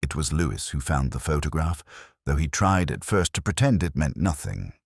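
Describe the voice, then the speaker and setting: A man playing a melancholic, introspective police inspector, narrating a case in the first person in a measured, literary way for an audio drama. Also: rumbling low, very animated, gravelly, breathy edge, no echo, clean studio sound, exaggerated voice. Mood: brooding, reflective. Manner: measured, literary, brooding delivery.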